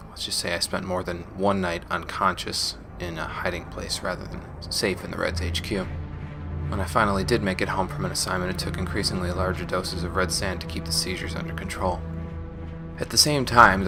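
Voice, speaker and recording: A man playing a world-weary former gang member recording a confessional sci-fi audio log, speaking in a subdued, reflective, measured way over music. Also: sits low. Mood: numb, reflective.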